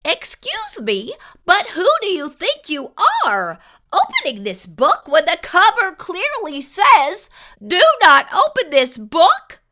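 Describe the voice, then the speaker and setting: Lady doing a bossy grumpy boy pig from a storybook, scolding, high and swooping all over. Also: wildly swooping pitch, slow, bright, forceful tone, heavily acted. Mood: indignant. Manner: indignant, scolding, emphatic. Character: grumpy, bossy male pig writer in a picture book. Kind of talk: animated children's read-aloud character voice.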